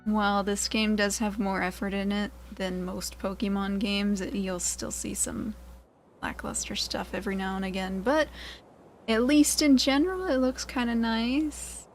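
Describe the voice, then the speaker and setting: A woman's voice: medium pitch, fairly even intonation, a little slow, faint music behind. A woman giving casual, chatty gameplay commentary as the host of a gaming video. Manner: chatty, informal.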